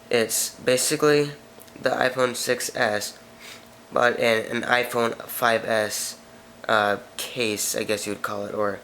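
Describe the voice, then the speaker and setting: A man's voice: medium pitch, fairly bright tone, slight background noise, poor audio. Stuffy-nosed man reading phone specs. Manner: chatty, reads specs, frequent self-corrections. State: stuffy nose, sniffling. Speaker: tech video host. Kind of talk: casual product walkthrough.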